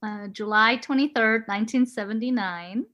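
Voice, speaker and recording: A woman's voice: on the high side, moderate pace, a bit bright, lo-fi sound. Woman in her forties, laughing often. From Switzerland. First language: Swiss German and German. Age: 40s. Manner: friendly, laughs often, searches for words. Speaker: guest. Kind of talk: casual interview.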